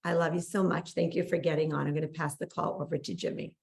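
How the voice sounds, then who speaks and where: A woman's voice: low-pitched, level tone, fast talker, creaky, a little mellow. A woman hosting a call, giving an effusive, emphatic motivational introduction. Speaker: call host.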